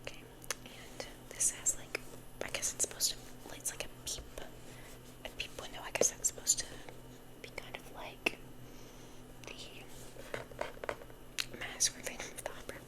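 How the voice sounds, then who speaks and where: A young woman whispering in a soft, breathy voice, rambling and repeating phrases as in an ASMR unboxing. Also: poor audio. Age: young adult. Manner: soft, repeats phrases slowly, chatty over-explaining. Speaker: ASMR content creator.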